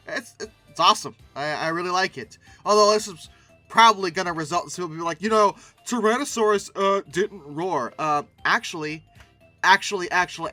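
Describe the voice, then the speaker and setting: A man's voice: high voice, bright, forceful tone, dry recording. A man giving casual, humorous commentary in a theatrical way, repeating words and adding mock-exasperated asides. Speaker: host reviewing old paleoart.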